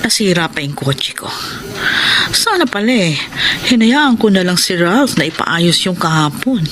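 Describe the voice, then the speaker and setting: A woman's voice: lowish voice, sing-song, a little slow, a bit bright. A woman with a Filipino accent playing an affectionate, aging mother of a grown son in a TV drama. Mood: mildly annoyed. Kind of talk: TV drama acting. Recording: noisy location sound.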